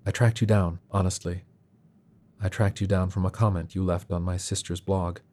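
A gentle, soothing man, as a male audiobook reader plays him. The voice is low, soft and dark, with an earnest, unhurried manner that stays composed. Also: fairly even intonation, lightly acted. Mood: honest, calm.

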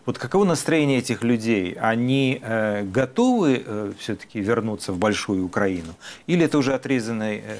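A man hosting an interview, asking probing questions in a measured way with hesitant fillers. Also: on the low side, expressive, a little mellow. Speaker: host, interviewer.

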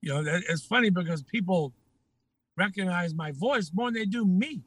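A man's voice: high voice, lively intonation, normal speed, fairly bright tone, breathy edge. An older man with a Texan accent, a former TV news anchor telling anecdotes and laughing as a podcast guest. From Texas. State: slightly changed voice from breathing trouble. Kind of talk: casual interview.

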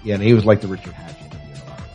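A man's voice: lowish voice, very fast, mellow, soft background music. A man hosting a podcast in a casual, conversational interview style. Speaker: podcast host.

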